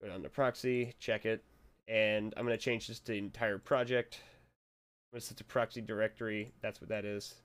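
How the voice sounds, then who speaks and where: A young man talks through a screen tutorial in a relaxed, rambling way. His voice sits fairly low and is a little hoarse from a cold. He chuckles to himself now and then. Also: fairly quick. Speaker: tutorial maker. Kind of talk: casual screen-recorded tutorial.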